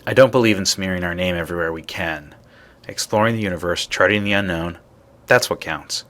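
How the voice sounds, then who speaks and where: A man playing a high-minded space explorer in a video game, speaking in a measured, formal way. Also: a bit bright. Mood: principled. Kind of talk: scripted video game voice acting.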